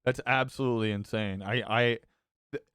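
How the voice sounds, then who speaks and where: Casting: young male host, clear smooth voice, dry close sound, stumbling casual delivery. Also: mid-range voice, steady tone, fairly bright tone, no echo. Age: young adult. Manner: halting, filler-heavy, laughs often. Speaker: podcast host. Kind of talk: casual podcast chat.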